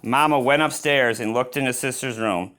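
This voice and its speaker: A man's voice: mid-range voice, moderate pace. Man reading a children's storybook aloud. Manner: expressive, exclaiming in asides. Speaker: storybook reader. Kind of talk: children's read-aloud with spontaneous asides.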